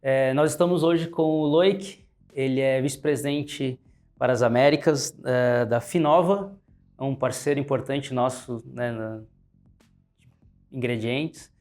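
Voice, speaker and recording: A man with a Brazilian Portuguese accent hosting an interview, often filling pauses with "né" and "é". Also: mid-range voice, steady tone, darkish tone, faint music behind. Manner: frequent "né" and "é" fillers. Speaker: host, pharmacy advertising manager.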